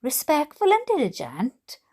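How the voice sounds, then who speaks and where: Female Welsh vlogger, high voice, very leisurely delivery; reads aloud expressively and chats informally with playful humour. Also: unhurried. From Wales. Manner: jokey, self-deprecating, giggly, expressive. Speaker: YouTube host reading letters aloud. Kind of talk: casual reading aloud with chatty asides.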